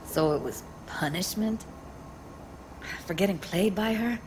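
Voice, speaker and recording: An actress playing a dry, no-nonsense technician in a cyberpunk video game, speaking tersely. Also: female voice, measured pace, natural voice. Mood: matter-of-fact. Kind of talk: video game dramatic acting. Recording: noisy, rumbling background.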